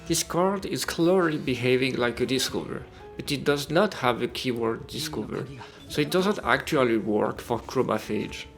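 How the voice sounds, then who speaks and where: A man's voice: medium pitch, fairly bright tone. A man reading a scripted video game review as a voice-over. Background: music.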